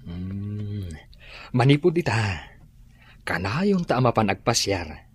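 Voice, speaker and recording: A man playing an affectionate, easygoing father and boss in an Ilocano radio drama, with a husky voice. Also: on the low side, big pitch swings, takes it slowly, heavily acted. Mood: warm, relaxed.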